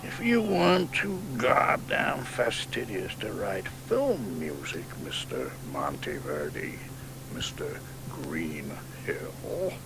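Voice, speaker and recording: An actor playing a cantankerous, sardonic old exiled poet of eighty, who mutters in whispers and then bursts out loud, in a radio drama. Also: male voice, low voice, animated, slow, creaky, exaggerated voice. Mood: musing. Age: older. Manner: wry, grandiloquent, sardonic. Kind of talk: 1990s radio drama acting. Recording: noisy radio broadcast recording.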